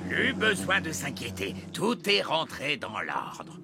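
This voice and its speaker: Excitable cartoon beekeeper: creaky, swooping all over, emphatic, music behind. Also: male voice, fairly high pitch, slow pace, background noise, full character voice. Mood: helpful. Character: helpful, excitable beekeeper full of warnings. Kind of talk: French cartoon dub acting.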